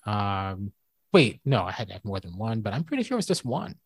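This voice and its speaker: A man with a fairly high voice, co-hosting a casual talk show and thinking aloud with frequent restarts and fillers. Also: very animated, a little fast, gravelly, breathy edge, no echo. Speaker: co-host and former college professor. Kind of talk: casual podcast debate.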